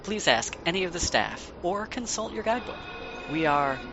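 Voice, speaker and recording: A man's voice: sits high, very animated, moderate pace. Man as a formal exhibition host, noisy recording. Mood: courteous, formal. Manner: formal, polite. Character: courteous, formal male host of a fey exhibition. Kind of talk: scripted welcome announcement.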